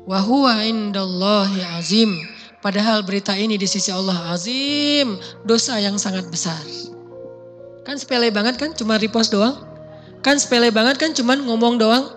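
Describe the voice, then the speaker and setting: A man, giving a casual sermon, talking in a conversational, emphatic way and chanting verses slowly. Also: very high voice, bright, forceful tone, long reverb tail. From Indonesia. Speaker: preacher.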